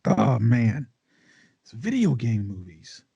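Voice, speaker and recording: A man's voice: medium pitch, very animated, slow pace, creaky, warm tone, slightly rough, no room sound, dull audio. A man, a podcast panelist who banters casually in an argumentative, exasperated way.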